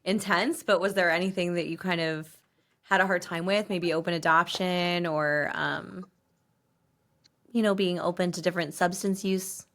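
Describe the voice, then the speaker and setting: A woman's voice: fairly low pitch, steady tone, moderate pace, a bit bright. A woman hosting a casual conversational interview, asking questions. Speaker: podcast host, interviewer.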